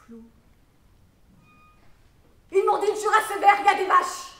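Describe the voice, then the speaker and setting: A woman acting a theatrical monologue as a troubled young mother, wounded and defiant, swinging between muttered confiding and shouted outrage. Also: fairly high pitch, steady tone, measured pace, recorded from a distance, natural voice. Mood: outraged, anguished. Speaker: drama student performing a monologue. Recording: roomy echo with background noise.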